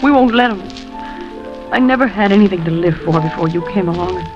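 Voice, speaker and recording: A woman's voice: mid-range voice, brisk, natural voice. An actress playing a devoted, anxious young American girlfriend in a 1950s radio drama, emotional and pleading. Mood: determined, devoted. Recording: dull old radio recording with music.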